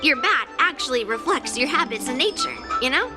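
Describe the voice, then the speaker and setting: A woman's voice: high voice, expressive, fairly quick. A woman playing a cheerful, confident young swordswoman in video game story dialogue, speaking in a frank, friendly, breezy manner over music. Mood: playful, assured. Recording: background music behind the voice.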